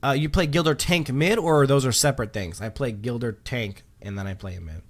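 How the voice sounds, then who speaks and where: A man's voice: medium pitch, a little fast. A man hosting a livestream, chatting casually while reading patch notes, with lots of um fillers and restarts. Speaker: livestream host.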